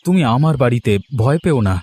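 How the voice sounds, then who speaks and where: A man playing a kind, modest young villager in a cartoon, talking in a gentle, hesitant, reassuring way. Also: mid-range voice, lively intonation, fast talker, lightly acted. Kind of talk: cartoon voice acting.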